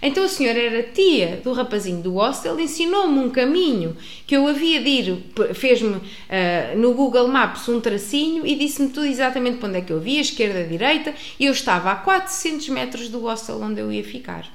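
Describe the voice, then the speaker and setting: Lively European Portuguese woman storyteller. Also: fairly high pitch, brisk. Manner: expressive, acts out dialogue, frequent restarts. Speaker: speaker telling a personal travel story to an audience. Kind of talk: animated storytelling. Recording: roomy echo.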